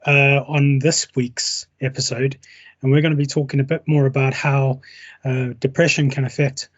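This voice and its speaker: A man's voice: mid-range voice, flat delivery, moderate pace, dull audio. A man hosting a casual podcast interview with his brother, talking in an encouraging, conversational way. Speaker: podcast host. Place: England.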